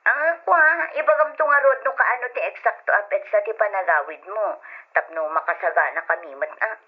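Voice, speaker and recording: An actress playing an overseas worker's wife and dutiful daughter in an old-style radio drama, speaking with an Ilocano accent. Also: female voice, medium pitch, fairly quick, husky, lightly acted. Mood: flustered. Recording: dull lo-fi radio sound.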